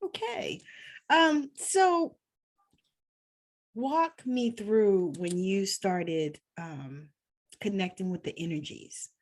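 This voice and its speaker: A woman hosting an interview, chatty and quick to laugh. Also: mid-range voice, lively intonation. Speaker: podcast host. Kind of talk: casual interview chat.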